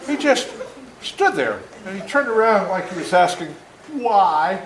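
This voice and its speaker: Older male author for children's read-aloud, folksy and expressive, slightly high voice, roomy sound. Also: a little slow. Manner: animated, dramatic emphasis, folksy explanations. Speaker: author reading his own book to schoolchildren. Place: indoor room at a school. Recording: echoey room with faint background noise.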